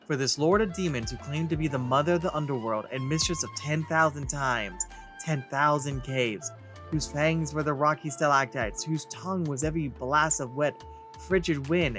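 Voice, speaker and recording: A male let's play host with a slightly high voice reads game text aloud in a theatrical way. He drops in casual commentary, with faint music behind him. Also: a bit bright.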